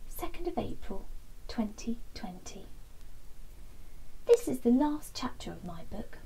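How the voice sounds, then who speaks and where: Mellow, high-voiced woman reading a bedtime story. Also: very animated, a little slow, creaky, breathy edge, whispered, low-quality recording. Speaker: children's bedtime story reader. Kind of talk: storybook read-aloud. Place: small indoor den with fabric and soft toys.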